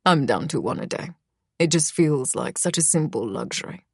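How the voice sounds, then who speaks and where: Woman voicing a deep, gruff kingly stepfather. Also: animated, normal speed, no echo, studio quality, full character voice. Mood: sheepish. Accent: Germanic. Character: gruff, kingly middle-aged stepfather, warm and sighing.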